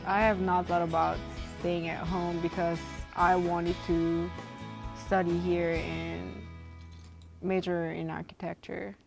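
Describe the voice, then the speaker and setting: A woman's voice: on the low side, little pitch movement, takes it slowly, gravelly, over music. A woman from South Korea studying architecture, talking casually with many "like" fillers. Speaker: architecture student.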